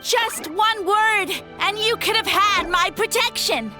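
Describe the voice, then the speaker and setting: High, pompous mushroom villain. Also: female voice, slow. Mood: grandiose, scornful. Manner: pompous, grandiose, theatrical. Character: haughty scheming mushroom villain posing as a god. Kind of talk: video game voice acting. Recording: music behind the voice.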